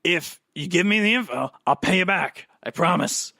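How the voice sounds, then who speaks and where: A man voicing an excitable, paranoid media man who rambles and wheedles in pushy fast talk. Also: sits high, gravelly, pitched above the performer's own voice, exaggerated voice. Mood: wheedling.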